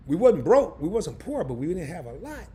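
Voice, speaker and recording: A hoarse man hosting a live stream, chatting loosely and in slang straight to his viewers. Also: high-pitched, very animated, moderate pace, mellow. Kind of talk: casual live stream chat.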